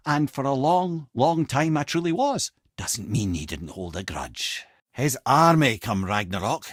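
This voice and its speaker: An actor playing a witty, learned old storyteller and guide in a video game, with a Scottish accent and a wry, erudite, theatrical way of talking. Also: male voice, medium pitch, a bit bright, no echo, studio quality. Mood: solemn storytelling. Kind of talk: video game character voice lines, storytelling and banter.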